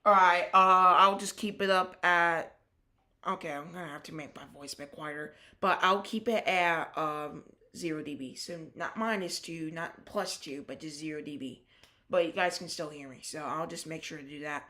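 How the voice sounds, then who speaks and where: A ham radio operator hosting a live stream, chatting casually in halting, self-correcting sentences and calling out a call sign in phonetic letters. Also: medium pitch, bright, forceful tone.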